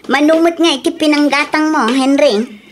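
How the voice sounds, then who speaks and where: Radio play wife, high-pitched and naggy, always on about quality, woman acting. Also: moderate pace, faint music behind, lightly acted. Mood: scolding. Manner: scolding, complaining. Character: fussy wife who demands quality.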